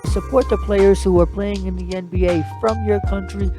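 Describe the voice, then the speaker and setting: A man's voice: high voice, fairly even intonation, normal speed, over music. A man hosting his own sports podcast alone, talking casually and running through lists of players. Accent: American. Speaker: podcast host. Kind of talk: solo podcast commentary.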